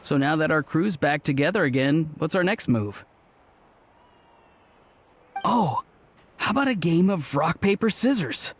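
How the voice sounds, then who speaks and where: Jokey, upbeat young guy, somewhat high voice, faint music. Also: animated, unhurried, pauses often, a little hiss or hum. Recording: game cutscene with music behind.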